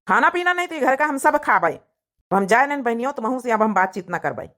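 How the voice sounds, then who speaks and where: A woman's voice: on the high side, very animated, quick pace, fairly bright tone. A woman voicing an angry, protective brother in a cartoon family drama, blunt and scolding, with an Awadhi accent. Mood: angry, offended.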